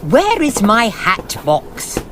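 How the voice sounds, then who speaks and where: A woman's voice: fairly high pitch, huge pitch swings, slow pace, background noise, heavily acted. A woman playing a fussy, faint-hearted Edwardian mother in an audio drama, with a genteel English accent and a fretful, theatrical delivery.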